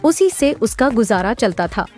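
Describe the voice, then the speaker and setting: Role: narrator. Style: scripted storybook narration. Pitch: fairly high. Behind: clear music. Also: female voice, lively intonation, measured pace, a little mellow. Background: background music.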